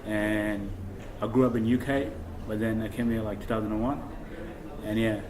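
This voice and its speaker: A young man from Kenya, a talk-show guest, telling childhood stories in a relaxed way with many fillers, recorded indoors on a camera mic a few feet away. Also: lowish voice, normal speed, background noise. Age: young adult. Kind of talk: casual chat. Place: small room indoors, seated on a couch.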